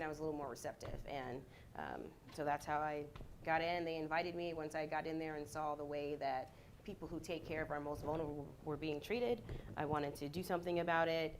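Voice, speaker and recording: A woman's voice: deep voice, a little hiss or hum. A woman on a panel telling her story as a home care worker, conversational with many ums. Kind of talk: panel self-introduction.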